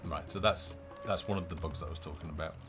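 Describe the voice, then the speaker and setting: A man giving casual, low-key live commentary as a game developer, explaining features as he plays. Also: fast. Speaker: game developer presenting a livestream. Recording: music behind the voice.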